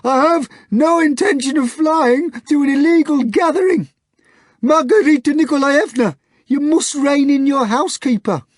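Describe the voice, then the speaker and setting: A shrill, pompous hog-man. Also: normal speed, no room sound, clean studio sound. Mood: indignant. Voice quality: hoarse grunting, pleading. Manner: stiffly formal protests. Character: pompous, flustered middle-aged man turned flying hog.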